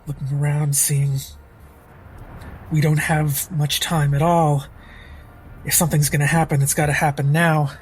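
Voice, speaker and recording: A man's voice: on the high side, level tone, measured pace, long pauses, soft background music. A man playing a tabletop roleplaying game, casually narrating his character's actions as he thinks aloud.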